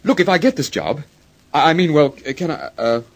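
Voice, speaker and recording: Husky, awkward young man in radio soap. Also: on the high side, sing-song, normal speed. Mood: bashful. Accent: American, put on by an Australian actor. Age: young adult. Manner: hesitant, stammering, boyish. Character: awkward, earnest young law graduate and amateur composer. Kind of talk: old radio soap opera acting.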